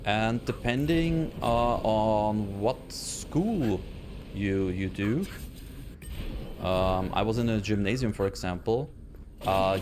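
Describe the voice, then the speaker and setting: A man's voice: lowish voice, background noise. A man with an Austrian German accent, a native German speaker, chatting casually with his stream chat while playing a game, hesitant with many fillers and restarts. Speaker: let's play streamer. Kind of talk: casual live gameplay chat.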